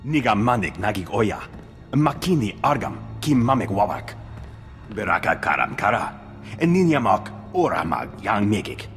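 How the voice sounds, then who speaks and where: Alien tech consultant for a game, choppy made-up language, lowish voice swooping about, echoey with music. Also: male voice, big pitch swings, normal speed, fairly bright tone. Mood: matter-of-fact. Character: alien technical consultant speaking an invented tongue. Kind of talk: video game voice acting.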